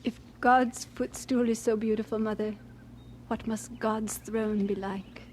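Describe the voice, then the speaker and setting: An actress playing a devoted missionary doctor, reflective and sincere, narrating and acting in an audio drama. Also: female voice, a little high, steady tone, unhurried, darkish tone, a little hiss or hum, lightly acted. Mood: tender. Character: composed, devoted female missionary doctor, reflective and warm-hearted.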